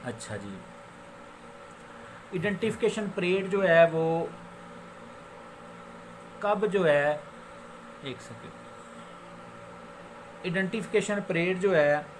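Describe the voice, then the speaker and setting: A man with a Pakistani accent giving an informal law lecture, explaining with examples and switching into English legal phrases, which he reads slowly and haltingly. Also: sits high, expressive, rough recording. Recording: noticeable background noise.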